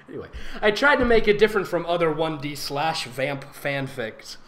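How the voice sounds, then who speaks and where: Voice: medium pitch, big pitch swings, normal speed, crowd noise. A theatrical, sarcastic and giggly host reading fan fiction aloud with mocking comedic commentary. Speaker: fanfic reader and voice actor hosting a reading. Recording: roomy echo, faint background noise.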